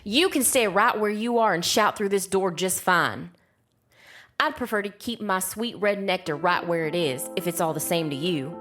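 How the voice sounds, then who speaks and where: A woman playing a wary, resolute young witch who narrates a dark fantasy audio drama in a measured storytelling way. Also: on the low side, animated. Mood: defiant. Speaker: first-person narrator. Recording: music bed under the voice. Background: music.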